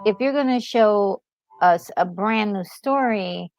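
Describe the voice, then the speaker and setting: A woman's voice: mid-range voice, takes it slowly, darkish tone, highs cut off. A woman co-hosting a gaming video, chatting casually and acting out reactions as she tells the story. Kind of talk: casual gaming commentary and chat. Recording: music playing behind the voice. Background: music.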